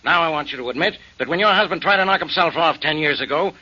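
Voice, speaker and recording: An actor playing a pushy police inspector who presses a suspect, in 1940s radio drama style. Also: male voice, on the high side, fast, bright, forceful tone, breathy edge, heavily acted. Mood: accusing. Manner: insistent, accusing. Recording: dull old radio broadcast.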